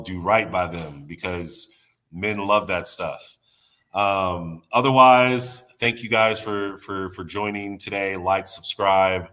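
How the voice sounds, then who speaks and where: A man's voice: on the low side, bright, forceful tone. A man with a stuffy nose, guesting on a casual podcast chat, rambling and restarting his sentences. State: stuffy nose, under the weather.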